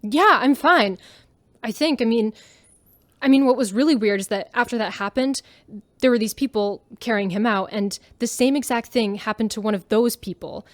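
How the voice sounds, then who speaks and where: A woman's voice: fairly high pitch, moderate pace, no room sound, lightly acted. A woman playing an awkward, shaken young passenger giving a hesitant, rambling, slangy eyewitness account in a radio drama. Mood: anxious. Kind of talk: sci-fi radio drama call-in.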